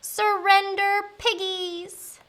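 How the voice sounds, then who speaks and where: A woman's voice: very high-pitched, flat delivery, extremely slow, sharp tone, pitched above the performer's own voice, full character voice. A woman voicing a greedy, hot-tempered witch in a children's storybook, screeching, bossy and full of insults. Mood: gleeful, menacing.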